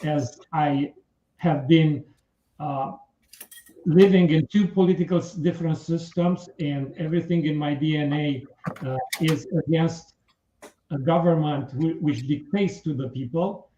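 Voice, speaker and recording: A man from Romania with a Romanian accent, heard in a very roomy, slightly dull radio recording. His tone is dark and soft-edged. He makes a candidate's pitch in careful, halting, formal English. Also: slight background noise. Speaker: political candidate, interview guest. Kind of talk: prepared political pitch in a radio interview. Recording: muffled, roomy radio interview sound.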